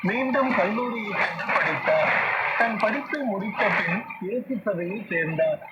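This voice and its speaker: A radio presenter reading a scripted religious narration in a measured broadcast style. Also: medium pitch, lively intonation. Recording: noisy shortwave radio broadcast, heavy static. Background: loud radio static and noise.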